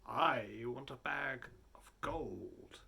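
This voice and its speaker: A man's voice: mid-range voice, drawn-out words, vocal fry, bright, forceful tone, husky, pitched below the performer's own voice, full character voice. A man voicing a greedy, restless robber in a fairy tale, eager and scheming.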